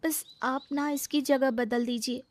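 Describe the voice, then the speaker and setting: A woman's voice: high-pitched, steady tone, a little slow. A woman playing a young, polite but exasperated new daughter-in-law in a comic family story, with a pleading, plaintive manner.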